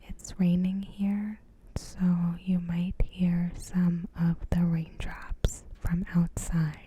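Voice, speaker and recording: A woman softly whispering in an ASMR style, reading out a recap with measured pauses and a breathy voice. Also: fairly low pitch, level tone, a little hiss or hum. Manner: soft-spoken, slow, measured, pausing mid-sentence. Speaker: ASMR video creator.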